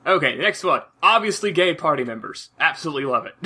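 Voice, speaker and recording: A man chatting casually as a podcast co-host, halting and repeating phrases as he searches for words. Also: fairly high pitch, very animated, bright, forceful tone, breathy edge. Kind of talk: casual chat.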